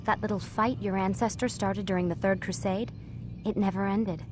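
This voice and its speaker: An actress playing an earnest, guarded young scientist in a video game, explaining things in a measured, confiding way. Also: female voice, medium pitch, steady tone, noisy background, natural voice. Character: earnest, guarded young female lab scientist, quietly sympathetic. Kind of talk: naturalistic video game dialogue.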